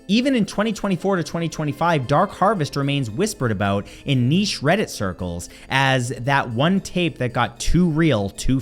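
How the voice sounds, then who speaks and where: Male presenter, fairly high voice, very animated, suspenseful scripted list narration with emphatic phrasing, music underneath. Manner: suspenseful, emphatic delivery, slowing on key words. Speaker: presenter of a countdown list show.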